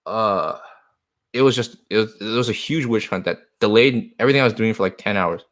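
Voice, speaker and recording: A man's voice: lowish voice, brisk, a bit bright. Earnest rambling man, Chinese native speaker. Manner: earnest, rambling, repeats himself. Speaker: card shop owner. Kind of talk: live stream talk answering comments.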